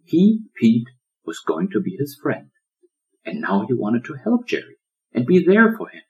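A man narrating a children's story as an audiobook, in a dramatic, expressive storyteller's manner. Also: medium pitch, unhurried, muffled recording. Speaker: author reading own audiobook.

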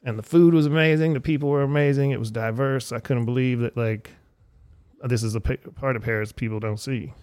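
A man's voice: medium pitch, fairly quick, clear, resonant tone, dry recording. Fifties guy hosting a podcast, laid back and chatty, super dry sound. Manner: relaxed, conversational, talks at length. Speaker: podcast host. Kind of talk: casual interview chat.

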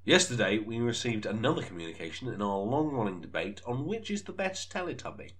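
A man hosting a comedy podcast in a mock-serious, deadpan announcer style. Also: medium pitch, brisk. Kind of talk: mock-serious sketch presenting.

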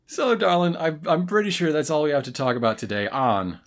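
A man's voice: a little high, big pitch swings, fairly quick. Wry, rambling man chatting casually. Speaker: podcast host. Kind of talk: casual chat.

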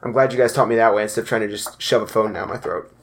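A man's voice: lowish voice, fairly even intonation, fast talker, vocal fry. A young man hosting a casual podcast, chatting in a loose, rambling way with plenty of restarts. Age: young adult. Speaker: podcast host.

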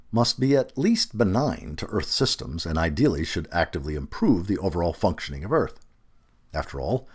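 A man reading a written essay aloud as scripted narration, in an emphatic, expressive manner. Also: deep voice, husky. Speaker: audio narrator reading a feature article.

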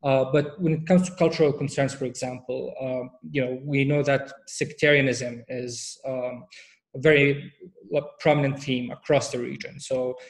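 Even-toned man explaining research. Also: mid-range voice, low-quality recording. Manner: measured, with frequent fillers and restarts. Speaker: researcher guest. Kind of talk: academic interview answers.

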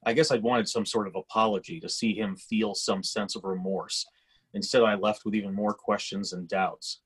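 An actor playing a weary, resentful grown son in a dramatic table read, with a slightly husky voice and a halting delivery. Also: male voice, fairly low pitch, fairly even intonation. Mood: let down. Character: resentful, weary grown son confronting his absent father. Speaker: actor in a screenplay reading.

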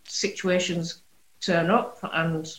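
A woman's voice: lowish voice, steady tone, takes it slowly, roomy sound, rough recording. A woman with a Yorkshire accent from England, chatting as an interview guest, with plenty of ums and laughs between remarks. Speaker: guest investigator. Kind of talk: casual interview chat.

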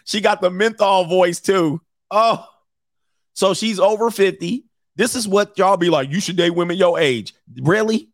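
A man's voice: high voice, unhurried, no room sound, clean studio sound. A man in his forties hosting mocking reaction commentary, brash and slangy, laughing often. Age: 40s. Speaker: commentary host.

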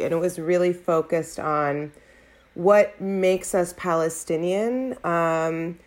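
A woman in her thirties with an American accent, an academic guest answering reflectively in a measured way with frequent pauses. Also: lowish voice. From United States.

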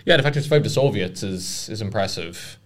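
A man's voice: lowish voice, lively intonation, a little fast. A young man co-hosting a podcast, talking casually with lots of hesitations and dry humor. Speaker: podcast co-host. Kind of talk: casual chat.

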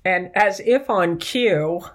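A woman's voice: on the low side, slow. A woman co-hosting a talk show, earnestly telling an anecdote in casual conversation. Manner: earnest, tells anecdotes with acted-out quotes. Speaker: podcast co-host. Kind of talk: conversational storytelling.

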